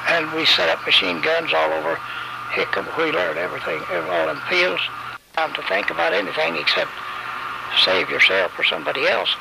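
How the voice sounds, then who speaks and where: An older man with a rural Kentucky accent, telling his memories in a plainspoken, halting, folksy way as an interviewee. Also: a little high, creaky, noisy background. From Kentucky, USA. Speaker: eyewitness interviewee, war veteran. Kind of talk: unscripted personal reminiscence. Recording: radio interview over music bed. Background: background music.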